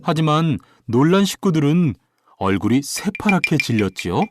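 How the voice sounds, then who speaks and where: A man narrating a children's folktale in an animated, dramatic way with playful sound words. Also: on the high side, normal speed. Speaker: storyteller, narrator. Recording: music behind the voice.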